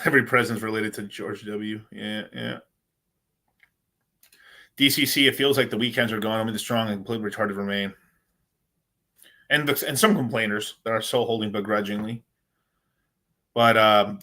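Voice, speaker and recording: A man's voice: on the low side, bright, forceful tone. A man hosting a casual crypto livestream, rambling in slangy talk and calling his viewers "bro.".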